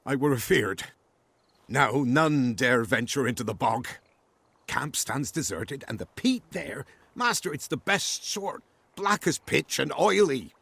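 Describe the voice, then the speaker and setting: Game villager: fearful, deferential peasant, husky and creaky, storytelling delivery, close dry sound. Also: male voice, mid-range voice, animated, a little slow, fairly bright tone, dry recording, full character voice. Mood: uneasy recounting. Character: fearful, deferential male peasant villager warning of a bog monster. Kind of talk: video game character acting.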